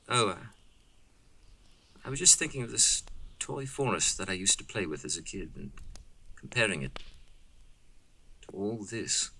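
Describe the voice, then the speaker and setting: An actor playing a skeptical, companionable camper in an audio horror drama, with a genteel, inquisitive manner. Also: male voice, on the low side, lively intonation, measured pace, long pauses, bright, forceful tone, breathy edge, heavily acted. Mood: musing, curious. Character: skeptical, companionable city-bred male camper in the wilderness.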